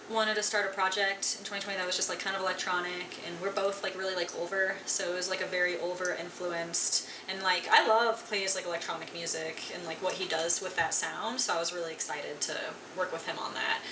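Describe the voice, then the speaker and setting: A young woman musician from Colorado, chatting casually in an interview with lots of "like" fillers. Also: on the low side. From Colorado, USA. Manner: relaxed, filler-heavy, frequent "like". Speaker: guest musician. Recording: echoey room, distant mic, noisy.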